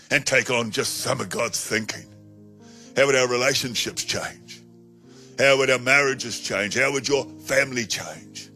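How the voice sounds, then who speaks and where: A man in his sixties preaching a sermon on a studio stage into a handheld mic, emphatic and slowing down to stress key phrases. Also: mid-range voice, sharp tone. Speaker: pastor. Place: studio stage with colored backdrop.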